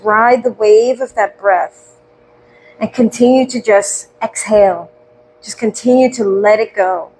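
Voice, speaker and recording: A woman leading a guided breathing meditation, speaking slowly and soothingly with gentle questions and audible sighs. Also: on the high side, slight background noise, poor audio.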